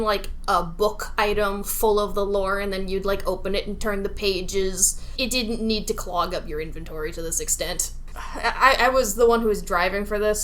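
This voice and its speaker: A woman's voice: mid-range voice, a bit bright. A woman co-hosting a podcast in a casual, conversational review of a game. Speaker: podcast co-host.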